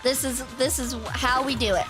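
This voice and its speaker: A young woman streaming a game, chatting casually in rambling asides while her voice is going hoarse. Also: sits high, fairly even intonation, normal speed, over loud music, low-quality recording. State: losing her voice. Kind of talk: casual gameplay commentary.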